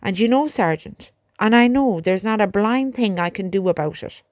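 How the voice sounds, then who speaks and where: A woman reading aloud, voicing a reluctant male army major who delivers bad orders slowly. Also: mid-range voice, animated, a little mellow, no echo, studio quality, natural voice. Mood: resigned. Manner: slow, measured, subdued.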